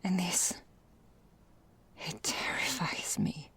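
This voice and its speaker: A woman playing an ancient, elegant vampire mistress in an ASMR roleplay, speaking formally and deliberately in a breathy, gravelly, whispery voice. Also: lowish voice, expressive, a bit bright, exaggerated voice. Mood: brooding, haunted. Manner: slow, formal, theatrical, deliberate.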